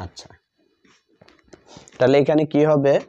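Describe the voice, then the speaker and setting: A man gives a step-by-step grammar lesson at a slow pace. His voice is mellow and mid-pitched, and he repeats phrases deliberately. The recording is dull and lo-fi. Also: level tone. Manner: deliberate, repeats phrases, asks and answers own questions. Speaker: English grammar teacher. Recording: dull lo-fi sound.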